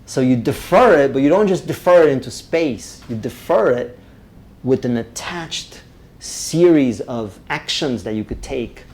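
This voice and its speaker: A man from Romania, teaching a live public speaking workshop, energetic and often checking in with the group. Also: mid-range voice, a little slow. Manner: energetic, checks in with "right?" constantly.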